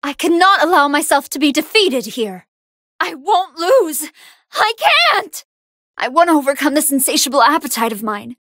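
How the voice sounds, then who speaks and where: A woman's voice: sits high, expressive, normal speed, a bit bright, no echo, clean studio sound. A woman playing a good-hearted female android fighter in an anime fighting game, earnest and polite, with emphatic battle lines. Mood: earnest, troubled.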